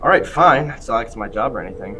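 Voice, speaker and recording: A man's voice: mid-range voice, animated, quick pace, bright, forceful tone, faint music behind. A man playing a casual, blunt hospital doctor in a student radio play. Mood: sulky. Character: breezy, offbeat male hospital doctor.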